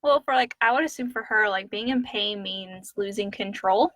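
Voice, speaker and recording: Bright-voiced woman chatting as co-host. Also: mid-range voice, moderate pace, no echo. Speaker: podcast co-host. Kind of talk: casual live Q&A chat.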